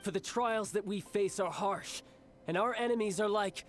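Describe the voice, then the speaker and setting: A man's voice: sits high, normal speed, slight background noise, lightly acted. A man voicing a cocky young military commander in a video game, commanding and theatrical, with a playful streak. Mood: grand, commanding. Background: game music.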